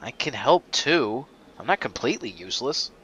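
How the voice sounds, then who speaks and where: A man's voice: mid-range voice, sing-song, normal speed, fairly bright tone, slightly rough, heavily acted. A man playing Neil, an injured young former track runner, eager and boyish. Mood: easygoing.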